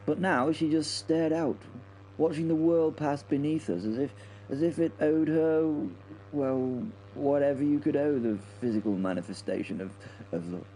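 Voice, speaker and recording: Man as a mellow, stammering British wing commander. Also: on the high side, normal speed, slight background noise, lightly acted, rough recording. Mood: wistful, subdued. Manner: hesitant, stammering, repeats himself. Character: flustered, stammering male wing commander pilot recounting a mission. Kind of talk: dramatic first-person narration in audio drama.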